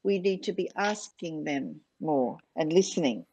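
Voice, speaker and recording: A woman's voice: deep voice, animated, unhurried, a little mellow, husky. A woman speaking on a webinar panel, hesitant, with frequent fillers and restarts. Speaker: panelist on early childhood.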